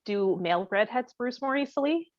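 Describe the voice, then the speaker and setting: A woman chatting casually in a candid, animated, joking way. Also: fairly high pitch, normal speed, no echo. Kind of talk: casual chat. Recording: close, dry, slightly dull audio.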